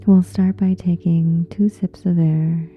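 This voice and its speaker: A woman leading a guided relaxation meditation in a soft, breathy, hushed and soothing way, leaving long pauses. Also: fairly low pitch, steady tone, muffled recording. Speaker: yoga nidra meditation guide. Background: soft meditation music with singing bowls.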